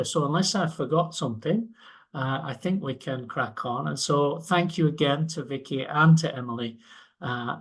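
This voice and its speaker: Hesitant man hosting a webinar. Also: medium pitch. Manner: halting, many fillers and restarts. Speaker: webinar host. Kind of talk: online webinar introduction.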